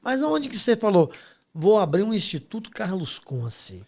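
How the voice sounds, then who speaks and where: A man's voice: high voice, very animated, normal speed, creaky, darkish tone. A Brazilian man hosting a podcast, chatting casually and informally as he questions and agrees with his guest. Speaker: podcast host. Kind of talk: casual interview chat.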